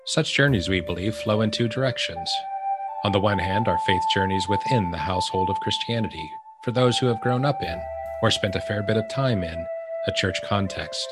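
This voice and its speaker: A man with a fairly low voice hosts a podcast, measured and earnest as he reads and a little halting when he talks off the cuff. Music plays clearly behind him. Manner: measured, earnest, hesitant with fillers in conversation. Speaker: podcast host. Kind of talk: scripted intro then interview.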